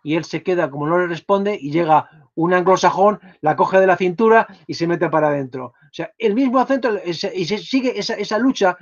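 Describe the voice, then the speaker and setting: A man's voice: high-pitched, brisk, few pauses. Spanish man on a dull-sounding webcam. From Spain. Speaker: speaker and host. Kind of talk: online video conference talk. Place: home study indoors. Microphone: laptop webcam on video call.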